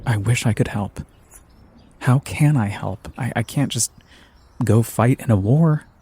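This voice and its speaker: A man hosting a podcast, reading scripted, reflective narration in a measured, earnest way. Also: medium pitch, dark tone, slightly rough. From United States.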